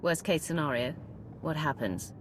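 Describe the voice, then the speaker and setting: A woman's voice: sits low, lively intonation, moderate pace, background noise. A woman playing a terse, guarded female heist partner in a video game cutscene.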